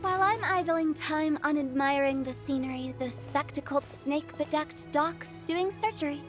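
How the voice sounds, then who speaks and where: A woman's voice: high voice, moderate pace, close to an everyday voice. A woman playing a quirky young female funeral director in a video game, with a playful, theatrical storytelling manner. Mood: playful, teasing. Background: music.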